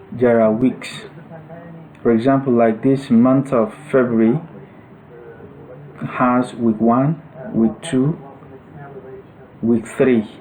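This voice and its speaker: A man narrating a step-by-step software tutorial as an instructor, explaining things in short, separated phrases. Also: medium pitch, poor audio.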